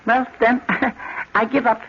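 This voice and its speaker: High-pitched, chattering little busybody. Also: male voice, little pitch movement, slow, gravelly, sharp tone, exaggerated voice. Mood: burning curiosity. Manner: chattering, stammering, repeats himself. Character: tiny, excitable, nosy male neighborhood gossip. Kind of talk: old-time radio drama acting. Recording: muffled, noisy old radio recording.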